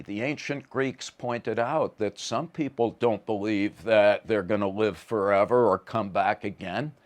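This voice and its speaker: A man giving a conversational lecture in a wry, vivid, emphatic manner, often stammering on the starts of words. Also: fairly low pitch.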